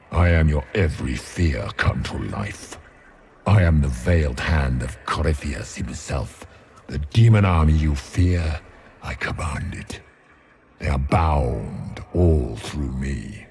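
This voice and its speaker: An actor playing a vast, ancient fear demon in a video game, taunting and grandiose in a deliberate way. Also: male voice, rumbling low, expressive, darkish tone, slight background noise, full character voice. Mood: menacing, commanding. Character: vast male fear demon, menacing, mocking, ancient, commanding. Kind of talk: dramatic video game villain acting.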